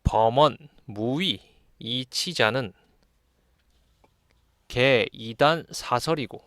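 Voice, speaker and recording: A man lectures in a voice of average pitch. He reads passages aloud and then explains them in a measured, teacherly manner. The sound is dry, with very little room in it. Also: a bit bright, dry recording. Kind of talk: explanatory lesson, reading classical texts aloud and commenting.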